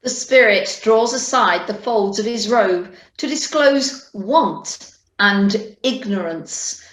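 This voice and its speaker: A woman reading scripted narration as the measured storyteller of an amateur radio play. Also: mid-range voice, fairly bright tone. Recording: very noisy, roomy video call audio.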